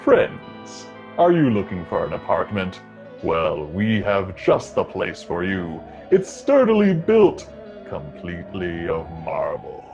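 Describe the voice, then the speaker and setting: An actor playing a darkly joking, sinister horror host in theatrical old-time radio style. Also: male voice, sits low, huge pitch swings, vocal fry, a little mellow, lo-fi sound, heavily acted. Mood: ghoulishly jovial. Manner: theatrical, sinister, drawn-out delivery. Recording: music under the voice.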